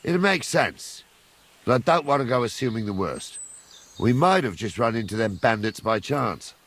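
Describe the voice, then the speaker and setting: An actor playing a seasoned, practical medieval soldier captain in a video game, blunt and colloquial. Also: male voice, medium pitch, animated, normal speed, fairly bright tone. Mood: wary. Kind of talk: video game cutscene acting.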